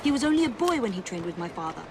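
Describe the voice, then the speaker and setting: A woman's voice: mid-range voice, very animated, rapid-fire, background noise, close to an everyday voice. An actress playing an earnest, inquisitive young Victorian Englishwoman in a video game cutscene. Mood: wistful, doubting.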